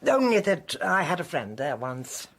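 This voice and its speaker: Husky, composed British woman. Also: lowish voice, very animated, moderate pace. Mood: regretful. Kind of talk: radio drama acting.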